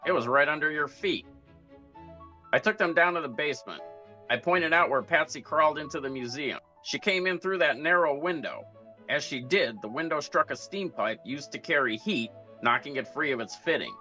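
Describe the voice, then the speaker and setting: A hard-boiled private eye, acted by a man in a 1940s radio drama. His voice is bright and forceful, a little high for a man. He recounts the case in clipped, matter-of-fact sentences. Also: lively intonation, normal speed, lo-fi sound, faint music behind. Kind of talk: 1940s radio noir narration.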